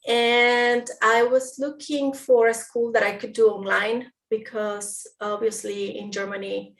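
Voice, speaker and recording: Woman, Peruvian Spanish accent, halting and searching for words, echoey room, far from mic. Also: on the high side, level tone. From Peru. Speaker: guest. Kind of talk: casual interview. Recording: roomy audio from a distant mic.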